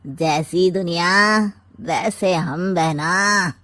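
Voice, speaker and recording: A woman's voice: fairly low pitch, extremely slow, a bit bright. A woman voicing a sly, sarcastic, scheming older uncle in a comic family story, with a theatrical drawl. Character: scheming, sarcastic maternal uncle, middle-aged or older man.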